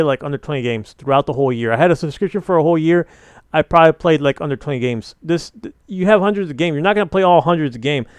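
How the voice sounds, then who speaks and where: A man's voice: fairly high pitch, clear, resonant tone, no echo. A young man giving casual, opinionated commentary on gaming news, rambling with many fillers and restarts. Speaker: gaming channel host.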